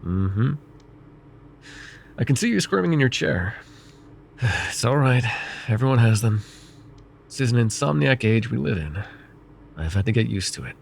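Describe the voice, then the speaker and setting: A man voicing a vain, wry, middle-aged male mage who counsels a student in an ASMR roleplay, speaking in a calm, measured and gently teasing way. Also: medium pitch, creaky, a little hiss or hum. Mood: calm reassuring. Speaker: voice actor.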